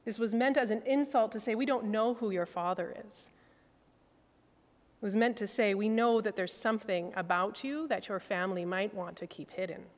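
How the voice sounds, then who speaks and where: A woman priest in her forties gives a measured, reflective sermon from a lectern stand mic. Her mid-pitched voice lets each phrase fall softly at the end. The long reverb of a large cathedral rings behind her words. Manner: measured, reflective preaching with soft falling phrase endings.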